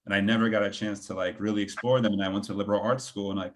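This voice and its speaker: A man speaks casually as a panelist, in a slightly low voice. His delivery is informal and meandering, with a self-deprecating streak. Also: level tone, very fast, a little hiss or hum. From Belgium.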